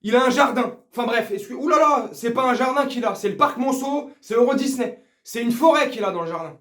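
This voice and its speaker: A man's voice: very high-pitched, fairly quick, a bit bright. A man giving a casual football rant to viewers, crude, slangy, teasing and confrontational. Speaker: football fan video host. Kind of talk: casual ranting commentary to viewers. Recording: echoey room.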